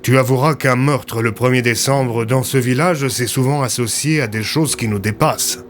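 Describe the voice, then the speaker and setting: A gruff police inspector, played by a man in an audio drama. He talks in a mid-range voice, blunt and businesslike, with music underneath. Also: mid-range voice, steady tone, normal speed, close to an everyday voice. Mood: serious, insistent. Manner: blunt, colloquial.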